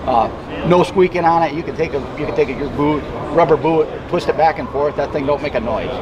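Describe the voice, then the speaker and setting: A man's voice: a little high, a little fast, muffled recording. A man giving a casual product demonstration as a company representative, explaining things in a plainspoken, agreeable way. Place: busy indoor trade show floor. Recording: busy trade show crowd noise.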